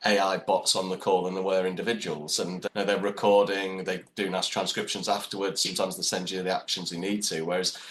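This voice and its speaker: Low-voiced man, rambling chat. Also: flat delivery, a little fast, hardly any pauses, fairly bright tone, slight background noise. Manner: rambling, chatty, laughs while talking. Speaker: podcast co-host. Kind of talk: casual chat.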